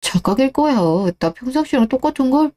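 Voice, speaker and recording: A woman's voice: sits high, lively intonation, a little fast, mellow, voice pushed up. A woman playing a touchy, evasive husband in a scripted radio drama. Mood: evasive, irritated.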